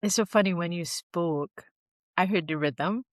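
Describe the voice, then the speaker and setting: A woman's voice: lowish voice, a little slow, no echo, clean studio sound. A woman from Trinidad and Tobago hosting an interview, affirming her guest and asking questions in a lyrical way. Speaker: interviewer host. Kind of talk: warm interview conversation.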